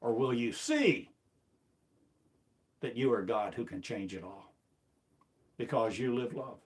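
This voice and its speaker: Man, unhurried preaching, mid-range voice, rhetorical questions, repetitive incantation, self-recorded sermon. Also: mid-range voice. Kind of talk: rambling spoken sermon.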